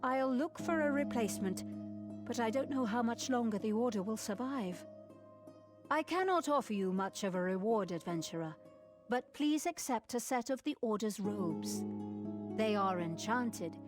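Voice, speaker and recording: An actress playing a grave, dutiful abbess in a video game, speaking formally and earnestly. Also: female voice, fairly high pitch, expressive, moderate pace, lightly acted. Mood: sorrowful, resigned. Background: music.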